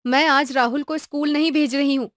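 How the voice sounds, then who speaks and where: A woman voicing a caring, firm mother in an animated story, explaining things patiently to her son. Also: high-pitched, a little fast, no room sound, studio quality. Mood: worried. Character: caring young mother, firm but loving.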